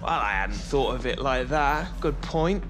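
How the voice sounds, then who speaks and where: An actor playing a cocky young footballer in a video game, trading mocking banter. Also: male voice, mid-range voice, lively intonation, natural voice. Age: young adult. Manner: mocking, drawn-out delivery. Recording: loud music behind the voice.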